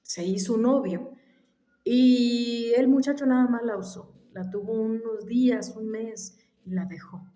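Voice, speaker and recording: Slow, mellow woman telling a story. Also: fairly high pitch. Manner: unhurried, halting, with soft whispered asides. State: allergies. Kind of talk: casual spoken storytelling. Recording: echoey room.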